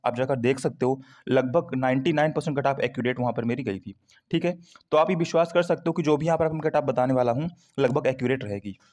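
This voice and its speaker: A man's voice: mid-range voice, a little mellow. A man giving an informal, conversational explainer to students, often adding check-in tags and mixing in English terms. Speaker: exam prep YouTuber.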